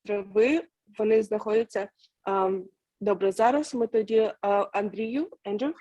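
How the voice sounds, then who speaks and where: A woman, a native Ukrainian speaker, hosting and interpreting informally on a video call in a halting, hesitant way. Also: mid-range voice, mellow, lo-fi sound. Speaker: host and interpreter.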